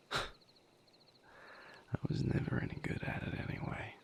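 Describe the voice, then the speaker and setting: A man playing a gentle, wry vampire healer in an intimate audio roleplay, speaking to the listener in halting, reflective phrases with a breathy, gravelly near-whisper. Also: slight background noise, lightly acted. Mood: wry amusement. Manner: halting, reflective, casually profane. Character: male vampire healer, gentle, wry, weary but content lover.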